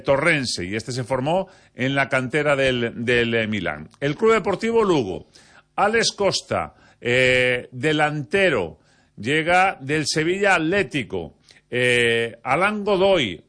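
Bright-voiced Spanish man presenting sports news. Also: fairly high pitch. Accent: Spanish, from Spain. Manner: halting delivery with frequent hesitations. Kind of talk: radio sports news bulletin.

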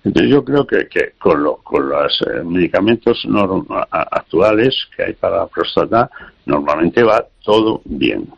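A man's voice: low-pitched, lively intonation, measured pace, vocal fry, slightly rough, highs cut off. A man giving health advice on a call-in radio show, hesitant and repeating small words as he explains.

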